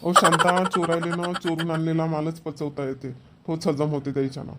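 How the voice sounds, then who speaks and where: Man voicing a higher-pitched, grumbling village farmer. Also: flat delivery, moderate pace, sharp tone. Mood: worried, resolute. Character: village farmer husband and father, worried, grumbling. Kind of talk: Marathi village cartoon comedy dialogue.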